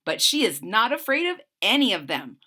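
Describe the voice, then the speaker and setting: A woman reading a children's story aloud in an expressive style, with simple retelling asides. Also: a little high, normal speed.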